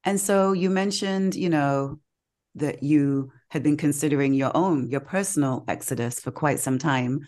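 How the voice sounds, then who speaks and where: Low-voiced woman host, affirming. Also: moderate pace. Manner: warm affirming, frequent murmured agreement. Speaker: podcast host. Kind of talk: interview questions.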